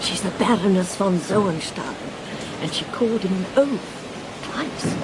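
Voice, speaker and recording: Animated, chatty English governess played by an actress. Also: female voice, a little slow, natural voice. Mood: kindly, confiding. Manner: effusive, fussy, calls the listener "my dear". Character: chatty, kindly English governess, older woman, eager and confiding. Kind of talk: radio drama acting. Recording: train rumble and noise behind.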